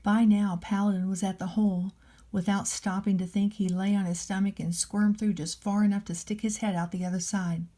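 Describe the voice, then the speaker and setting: A woman reads a children's story in a mellow, relaxed voice. Her telling is gentle and deliberate, like a nanny reading aloud to a little one. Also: mid-range voice.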